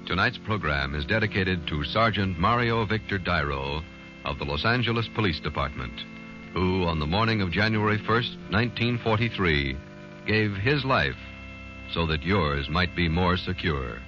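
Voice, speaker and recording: Deep, grave radio announcer man. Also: expressive. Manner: grave, measured reading. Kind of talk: solemn scripted announcement. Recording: dull old radio broadcast with music.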